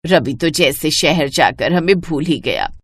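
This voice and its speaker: A woman's voice: sits low, animated, unhurried, slightly rough, voice pushed down, heavily acted. Female narrator as older village food-cart seller, kindly mother-in-law, sing-song hawker, cartoon story. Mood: tender. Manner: sing-song street vendor calls.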